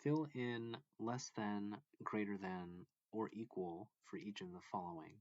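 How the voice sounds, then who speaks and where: A man with a deep, low-pitched voice reads a lesson aloud in short, deliberate phrases, like a teacher walking students through a textbook. The recording is muffled and lo-fi, with noticeable room echo. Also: fairly even intonation. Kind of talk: instructional read-along of a math textbook. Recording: dull lo-fi, echoey room.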